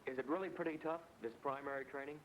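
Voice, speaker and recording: A man's voice: medium pitch, steady tone, quick pace, lightly acted. A young man acting as an eager, respectful navy cadet in a 1940s training film. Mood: curious. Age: young adult. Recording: dull, very noisy old film soundtrack.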